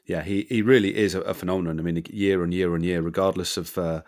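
Male interview host, lower voice, chatty and rambling, dry close mic. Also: fairly even intonation, fast, a little mellow, no echo. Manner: chatty, rambling questions with many fillers. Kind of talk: casual interview.

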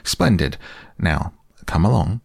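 A man voicing a bossy, scheming male rabbit who gives brisk instructions in a storybook. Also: sits low, expressive, gravelly, husky, no echo, studio quality, exaggerated voice.

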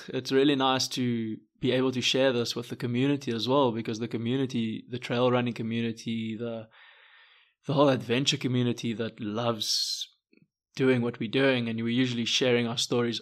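A man, a podcast co-host and adventure guide, talking in an earnest, reflective way with many fillers. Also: mid-range voice. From South Africa. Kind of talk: casual podcast talk.

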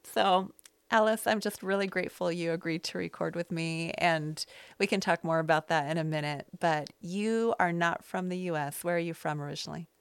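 A woman's voice: lowish voice, fairly even intonation, normal speed, vocal fry, smooth, dry recording. A middle-aged American woman hosting a casual podcast, telling stories in a rambling, self-deprecating way. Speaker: podcast host. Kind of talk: casual conversational podcast chat. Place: small loft space at home.

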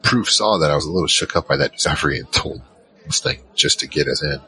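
A man's voice: extremely low pitch, lively intonation, fast, sharp tone, full character voice. A man playing a curious, hesitant adventurer who recounts his story in a conversational first person for an audio drama. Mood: reflective, conversational. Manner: conversational, hesitant, searching for words. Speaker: narrator and lead character. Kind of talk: in-character first-person scripted narration.

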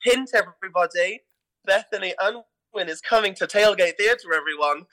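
A man's voice: high-pitched, moderate pace, sharp tone, rough recording. A British man speaking enthusiastically and conversationally as a guest in an interview about his work. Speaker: guest, artistic director of a pantomime theatre company.

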